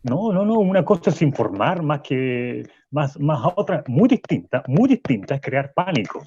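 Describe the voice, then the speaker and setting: A man's voice: a little high, big pitch swings, normal speed, mellow, slightly rough, dull audio. An actor playing a male professor guest who argues insistently, repeating himself and politely interrupting, in a radio drama.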